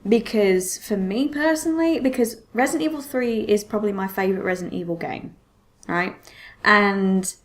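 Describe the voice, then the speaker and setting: A woman's voice: medium pitch, moderate pace. Woman chatting casually on a podcast. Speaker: podcast host. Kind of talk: casual movie discussion.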